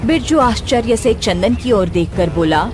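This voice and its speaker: A woman's voice: on the high side, expressive, moderate pace, music in the background. A woman reading scripted narration as the storyteller of a tale.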